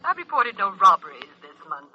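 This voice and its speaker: An actress playing an icy, haughty, sardonic society wife in an old-time radio drama, speaking with cool disdain. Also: female voice, mid-range voice, very animated, a little fast, husky. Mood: icy, mocking. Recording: tinny old radio telephone sound.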